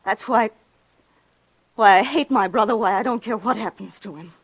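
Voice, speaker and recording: A woman's voice: medium pitch, normal speed, slightly rough, no room sound, rough recording. An actress playing a frightened, guilty woman in an anxious, urgent 1940s radio melodrama style. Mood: bitter, confessing. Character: frightened, guilty woman confessing her brother's scheme.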